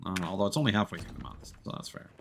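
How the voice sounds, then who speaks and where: Male game streamer, low register with some fry, relaxed and hesitant casual chatter. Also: racing, slight background noise. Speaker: livestreamer hosting a co-op game. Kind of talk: casual gaming chat.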